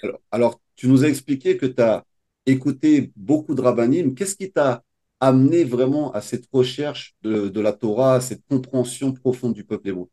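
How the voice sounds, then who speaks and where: A man with a mellow, warm voice hosts a testimonial interview as a coach. He puts his questions in a measured, formal way. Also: medium pitch.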